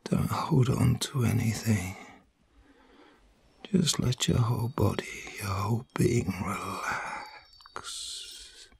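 A man in his fifties giving an ASMR reiki relaxation session in a breathy, husky whisper, soothing and unhurried, with short phrases and long pauses. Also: lowish voice, very animated, no echo. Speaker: reiki healer. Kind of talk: ASMR guided relaxation, personal attention. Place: small room at home, indoors.

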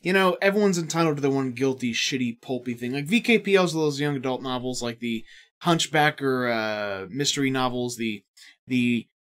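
A man in his thirties co-hosting a casual gaming podcast, swearing freely and jumping between asides. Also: medium pitch. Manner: animated, profane, quick asides and impressions. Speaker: podcast co-host. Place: indoor studio set.

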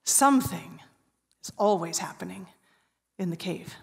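A woman in her forties preaching an Easter sermon from a church stage, telling a story with hushed, drawn-out pauses. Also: fairly low pitch, expressive, gravelly. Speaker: pastor. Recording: reverberant church hall.

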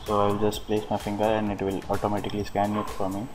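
A man with a fairly low voice walks through a piece of software step by step. His delivery is very flat and somewhat halting, as if explaining unscripted. Music plays clearly behind him throughout. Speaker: software demonstrator. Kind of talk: unscripted screen-recorded software walkthrough.

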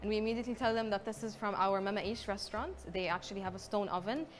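A young woman from Palestine speaks in a middle register. She talks in an explanatory, proud tour-guide way, pausing often between her points. Soft music plays faintly behind her in an indoor exhibition hall. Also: medium pitch. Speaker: pavilion representative. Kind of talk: on-camera interview.

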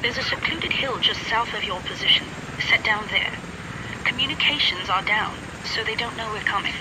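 An actress playing a brisk, businesslike female radio operator guiding a mission in a video game. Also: fairly high pitch, natural voice. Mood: urgent, brisk. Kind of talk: scripted game cutscene acting. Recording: noisy helicopter radio scene.

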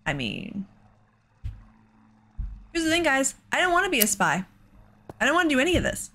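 A woman's voice: sits high, wildly swooping pitch, moderate pace, pushed and bright. A woman giving casual let's play commentary, thinking aloud in an exasperated way. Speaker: gaming host.

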